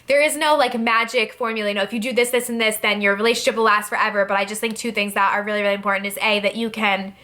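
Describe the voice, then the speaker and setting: Young woman vlogger in her twenties, bright voice of middling pitch, loose and chatty delivery. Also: steady tone, fast talker, few pauses. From New Jersey, USA. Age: 20s. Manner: chatty, rambling, many fillers and "like". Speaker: beauty vlogger. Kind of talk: casual Q&A talk to camera.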